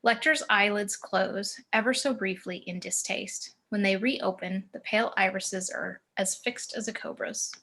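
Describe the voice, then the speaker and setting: A woman with a mid-pitched voice narrates stage directions from a screenplay. She reads descriptively in short, deliberate phrases over a video call. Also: fairly even intonation, pushed and bright. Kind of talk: scripted screenplay narration, table read.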